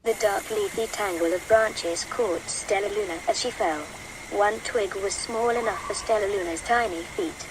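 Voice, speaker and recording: A woman's voice: medium pitch, normal speed. A woman narrating a scripted children's story in a dramatic storytelling manner, with noise in the recording. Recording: clear background noise.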